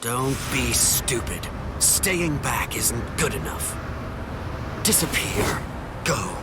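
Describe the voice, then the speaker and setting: A man's voice: mid-range voice, sing-song, takes it slowly. A man voicing a fierce, hot-headed young sword captain in an anime game dub, intense and dramatic. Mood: grim, urgent. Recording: loud music over voice.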